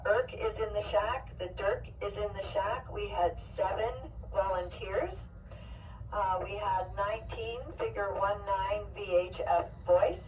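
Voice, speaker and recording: A woman radio operator giving a measured procedural check-in report over a radio transmission, repeating figures digit by digit. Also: mid-range voice. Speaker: station operator reporting to a net. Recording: tinny narrowband distant radio, faint noise.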